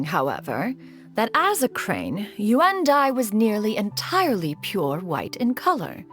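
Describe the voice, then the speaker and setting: A woman voicing an aloof, formal female crane immortal who is master to disciples. She speaks in a measured, archaic way and calls herself "one". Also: mid-range voice, gravelly.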